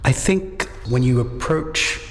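A man who teaches at a university, a native English speaker, giving thoughtful, measured interview answers. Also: mid-range voice, lively intonation. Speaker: university lecturer in Czech studies. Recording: long hall reverb, faint noise.

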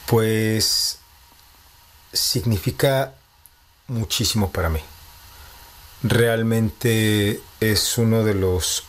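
A man in his thirties with a Mexico City accent speaks in a low, level voice that hardly rises or falls. He takes his time, weighing each phrase and thinking aloud as he answers an interviewer. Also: a little hiss or hum. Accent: Mexico City Spanish. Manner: measured, reflective, thinking aloud. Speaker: composer and postdoctoral researcher.

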